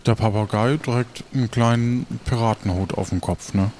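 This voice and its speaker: A man's voice: lowish voice, normal speed, a little mellow, slight background noise, close to an everyday voice. A man voicing an evasive, sheepish sailor in a pirate role-play, stammering and hesitant. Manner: hesitant, stammering, tag questions. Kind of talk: improvised role-play dialogue.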